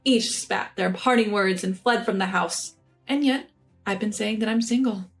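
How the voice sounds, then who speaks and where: A woman narrating a scripted first-person revenge story in an even, measured reading. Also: mid-range voice, soft background music.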